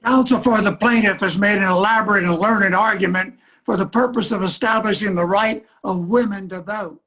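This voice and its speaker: A man playing a pompous judge, loudly declaiming a formal ruling in readers' theater. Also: sits high, moderate pace.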